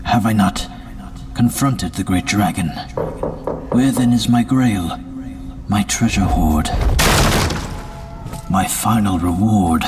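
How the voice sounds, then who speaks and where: An actor playing a haunted, feverish asylum founder in a gothic audio drama, brooding and theatrical, often dropping to a whisper. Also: male voice, on the low side. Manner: slow, brooding, theatrical, lapsing into hushed whispers. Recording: distant mic under loud music.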